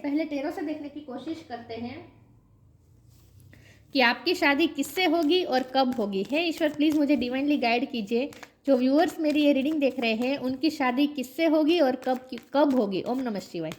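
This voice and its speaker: A woman with a sore, coughing throat, giving a tarot reading to her viewers and chanting between card draws. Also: sits high. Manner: chants a mantra between card draws, slow repeated questions. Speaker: tarot reader.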